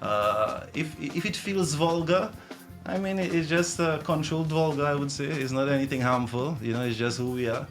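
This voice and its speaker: A man's voice: fairly high pitch, moderate pace, faint music behind. Laid-back Caribbean man chatting. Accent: Caribbean English. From Trinidad and Dominica. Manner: laughing, animated storytelling with many restarts. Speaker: music artist guest. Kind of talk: casual interview chat.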